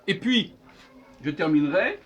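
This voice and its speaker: A man gives an improvised, opinionated lecture, halting and correcting himself, repeating phrases for emphasis. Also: on the high side, lively intonation, fairly bright tone. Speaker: speaker giving a philosophical talk. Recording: distant microphone, noisy room ambience.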